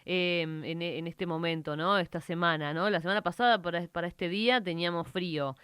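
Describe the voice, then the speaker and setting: Smooth high-voiced radio host. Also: male voice, fairly even intonation, fairly quick, a bit bright, no echo, studio quality. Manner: brief agreeing interjections, hesitant questions. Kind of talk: radio interview.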